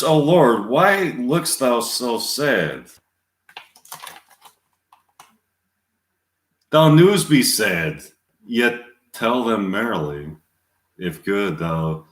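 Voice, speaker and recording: A man reads a restless, lovesick young girl in slow, earnest verse, with a low voice that swings widely in pitch. The reading halts now and then. The room around it is echoey. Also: animated. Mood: pleading. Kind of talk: amateur Shakespeare reading.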